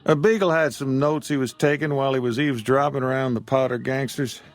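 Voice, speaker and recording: A man's voice: mid-range voice, steady tone, a little fast, faint music behind, lightly acted. A man voicing a weary old frontier shopkeeper who talks in a drawl. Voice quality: gravelly drawl. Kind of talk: video game dialogue.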